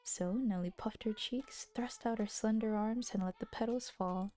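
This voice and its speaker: Young husky woman narrator, mellow, chatty asides, music bed. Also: medium pitch, fairly even intonation, moderate pace. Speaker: streamer reading a visual novel aloud. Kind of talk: scripted narration with casual asides.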